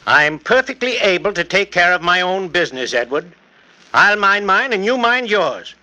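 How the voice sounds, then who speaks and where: An actor playing a brusque, haughty wealthy lawyer in a 1940s radio drama. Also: male voice, sits high, sing-song, normal speed, sharp tone, exaggerated voice, poor audio. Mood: curt, irritated. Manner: curt, haughty, snapping. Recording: old 1940s radio broadcast.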